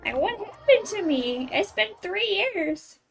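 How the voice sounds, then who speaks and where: A woman's voice: extremely high pitch, very animated, measured pace, gravelly. A woman streaming a video game, giving casual, informal, off-the-cuff commentary as she plays. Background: faint game music.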